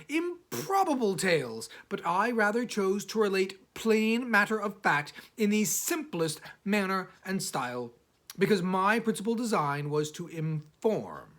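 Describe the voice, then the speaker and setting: A man narrating an old first-person literary text as an audiobook reader, in a measured, formal, oratorical way with emphatic phrasing. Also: sits high, fairly bright tone. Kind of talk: scripted narration of an 18th-century travel satire in first person.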